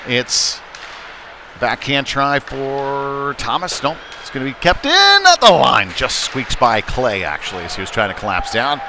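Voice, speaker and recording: A man giving live ice hockey play-by-play in short clipped calls with hesitant pauses. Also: mid-range voice, wildly swooping pitch. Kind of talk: live sports commentary. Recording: clear background noise, live rink ambience. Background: ambient sports venue noise.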